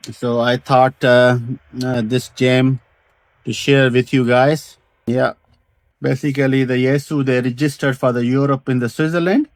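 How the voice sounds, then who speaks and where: Halting man giving a dry-sounding radio showcase. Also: mid-range voice, darkish tone, dry recording. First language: not English. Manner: halting, hesitant, pausing between short phrases. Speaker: vintage radio hobbyist presenter. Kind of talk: casual product showcase, unscripted demonstration.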